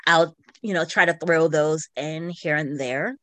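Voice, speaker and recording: Female podcast co-host, lower-leaning voice, unhurried delivery, informal and giggly. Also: a bit bright, no room sound. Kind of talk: casual chat about books and shows.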